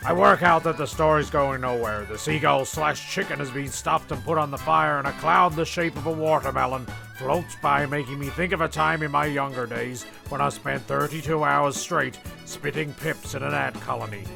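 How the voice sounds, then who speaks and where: A man's voice: a little high, moderate pace, creaky, hardly any pauses, over music. A man playing a rambling, exasperated narrator of a comic castaway radio play. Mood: wistful rambling.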